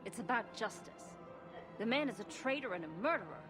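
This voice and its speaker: An actress playing a grieving widow in a video game, set on justice. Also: female voice, fairly high pitch, animated, brisk, music in the background, close to an everyday voice. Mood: indignant. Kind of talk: video game cutscene dialogue.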